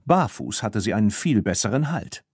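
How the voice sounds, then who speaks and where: A man narrating a children's audiobook in an animated storytelling manner. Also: on the low side, moderate pace, slightly rough, no echo, studio quality. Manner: animated storytelling, acting out dialogue.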